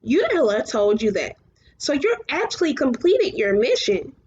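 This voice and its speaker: A woman voicing a gentle, earnest male luck dragon in a storybook, coaxing his companion. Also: high-pitched, sing-song, moderate pace. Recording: muffled, clearly noisy home recording.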